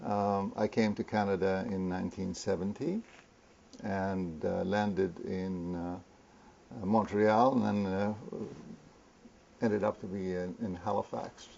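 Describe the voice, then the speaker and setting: Hesitant older man from Lebanon, low gravelly voice. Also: fairly even intonation, a little mellow, a little hiss or hum. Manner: hesitant, many pauses and fillers. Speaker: interview guest, community founder. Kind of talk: conversational interview. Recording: muffled lo-fi recording.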